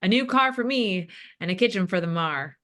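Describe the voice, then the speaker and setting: A woman playing an earnest, folksy evangelical church board member in a comedy table read, deliberately spelling things out. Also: mid-range voice, expressive, fairly bright tone, natural voice. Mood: earnest, pleased.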